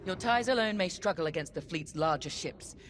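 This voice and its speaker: An actress playing a cold, vengeful female military commander in a video game, speaking in a measured, menacing, formal way. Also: lowish voice, animated, a little hiss or hum, natural voice. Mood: stern, controlled. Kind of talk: dramatic video game mission briefing. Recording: loud music behind.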